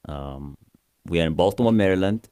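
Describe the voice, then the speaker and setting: Young Sudanese-accented man, deep voice. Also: lively intonation, fairly quick, dark tone, no room sound, studio quality. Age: young adult. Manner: laughing, joking, self-deprecating. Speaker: pastor, co-host. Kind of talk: casual podcast chat.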